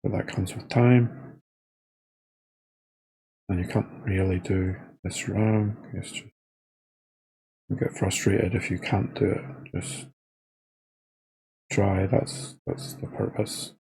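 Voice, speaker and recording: A man with a soft, husky voice leading a guided body scan meditation in an informal, unscripted, halting way. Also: fairly low pitch, flat delivery, rough recording. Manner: hesitant, halting delivery with trailing phrases.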